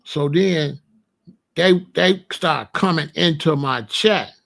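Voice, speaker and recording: A man with a gravelly, somewhat high voice talks bluntly through a casual monologue. His is slangy and repetitive, and he keeps asking whether you follow him. He is confrontational and plain-spoken. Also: takes it slowly, a bit bright. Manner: repetitive, keeps asking you know what I'm saying. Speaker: commentary channel host.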